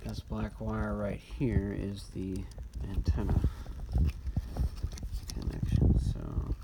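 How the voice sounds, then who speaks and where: A man giving a casual, unscripted hands-on walkthrough of a gadget, speaking in a halting way with frequent ums. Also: on the low side, level tone. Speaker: presenter of a radio disassembly video. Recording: noisy recording with handling clicks.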